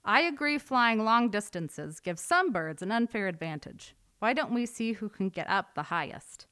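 A woman voicing a big, proud, boastful male eagle in a storybook tale, booming out her lines. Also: lively intonation, normal speed, pushed and bright, no room sound, clean studio sound.

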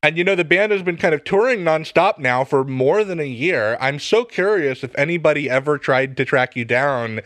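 A man's voice: fairly high pitch, lively intonation, normal speed, hardly any pauses, fairly bright tone, dry recording. A man interviewing conversationally, asking long, chatty questions.

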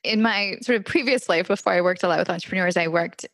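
A woman hosting a podcast, asking thoughtful, reflective questions in a relaxed interview conversation. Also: fairly low pitch, fast talker, dry recording. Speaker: podcast host.

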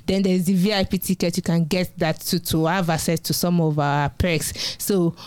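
A young woman with a Nigerian accent chats on a podcast, laughing easily as she talks. Her voice is a bit low for a woman and smooth and clear. The sound is dry and close, with no room in it. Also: steady tone, moderate pace, a little mellow, no room sound. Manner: chatty, laughs often while promoting an event. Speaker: podcast co-host. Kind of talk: casual podcast chat.

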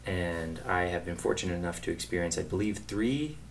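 A man's voice: deep voice, a little fast, a little hiss or hum. A man hosting a casual interview, easygoing and hesitant, with many fillers. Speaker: podcast host.

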